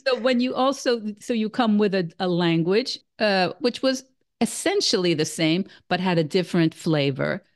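A woman's voice: mid-range voice, animated, moderate pace. A woman hosting an interview podcast who often restarts her sentences and praises her guest freely. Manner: hesitant, with frequent restarts and effusive praise. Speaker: podcast host.

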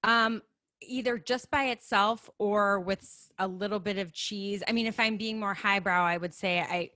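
A woman's voice: medium pitch, steady tone, normal speed, bright, forceful tone, no echo. A middle-aged woman from the Chicago area with a Chicago accent, chatting as an interview guest and laughing easily at herself. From Chicago area, USA. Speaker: guest, makeup artist. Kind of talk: casual conversational interview.

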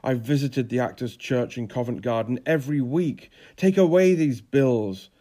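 A man's voice: medium pitch, normal speed, dark tone, lightly acted. A man voicing a flamboyant, self-pitying middle-aged playwright with a pompous, declamatory delivery, in an audiobook drama. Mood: defiant, ranting. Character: flamboyant, theatrical, grey-haired failed playwright, self-pitying and grandiose.

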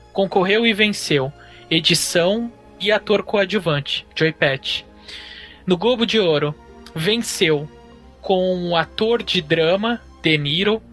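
A man co-hosting a film podcast, listing facts in a steady run and then musing on the film. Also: high-pitched. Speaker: podcast co-host. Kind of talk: casual podcast discussion.

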